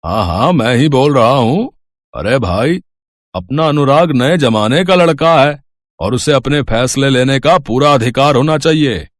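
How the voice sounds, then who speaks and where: A man plays a scheming village patriarch for a cartoon moral tale. He is forceful and bossy, with pitch that swings widely, recorded close and dry. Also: lively intonation, a little slow, dry recording, natural voice. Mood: feigned cheer. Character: domineering, scheming village landlord father, gruff and crafty. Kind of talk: animated moral story voice acting.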